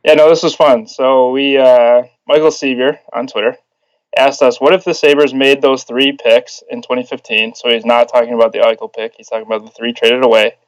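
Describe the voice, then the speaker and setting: A man co-hosting a casual sports podcast, talking in a relaxed way with some fillers. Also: medium pitch, fairly quick, no room sound, lo-fi sound. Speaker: podcast co-host.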